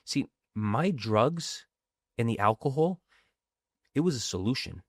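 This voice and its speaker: A man's voice: mid-range voice, very animated, unhurried, no room sound, clean studio sound. A man from a Sicilian family, Sicilian his first language, talking earnestly about recovery in a casual conversation and often tagging phrases with "right?". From grew up in a Sicilian family, bilingual. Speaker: guest speaking on addiction recovery.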